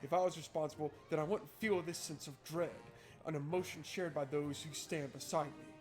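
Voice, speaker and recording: A man's voice: fairly high pitch, fairly even intonation, a little fast, a little mellow. A man hosting a let's play, chatting informally and playfully and reading game text aloud. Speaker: gaming video host. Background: game music.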